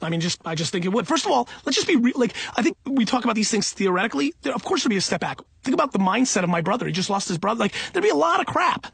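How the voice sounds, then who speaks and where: A man's voice: sits high, big pitch swings, rapid-fire, vocal fry, few pauses, fairly bright tone, slightly rough, no echo. A middle-aged man talking off the cuff for an audiobook in an emphatic, self-assured, blunt and conversational way. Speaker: entrepreneur and company CEO reading own audiobook.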